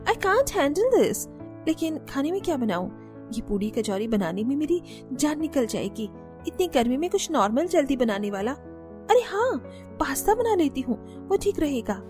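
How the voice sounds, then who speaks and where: A woman's voice: sits high, sing-song, normal speed, over music. A woman voicing young cartoon daughters-in-law, one of them a spoiled, haughty bride who whines and drops English words. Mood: fussy. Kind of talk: cartoon voice acting.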